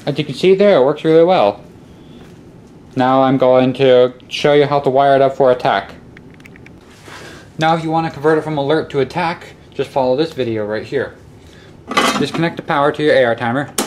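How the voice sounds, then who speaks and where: A man's voice: medium pitch, normal speed, long pauses, a little mellow. A man narrating a casual how-to demonstration step by step, in an instructional way, on a handheld camera. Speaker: host of a hobby electronics how-to video. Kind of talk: casual hands-on tutorial narration. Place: cluttered indoor workshop or utility room. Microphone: handheld camera microphone. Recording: clicks and clear background noise, handheld camera.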